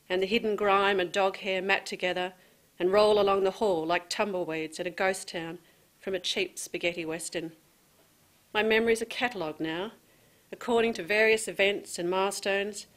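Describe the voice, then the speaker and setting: Mid-pitched woman reading a prose poem. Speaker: writer reading own work.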